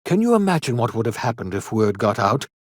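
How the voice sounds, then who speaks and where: An actor playing an eccentric elderly scientist and rebel in a video game, earnest and theatrical, with formal, old-fashioned, emphatic phrasing. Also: male voice, medium pitch, very animated, fairly quick, no echo, clean studio sound. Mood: grave, worried. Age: older. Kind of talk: video game character dialogue.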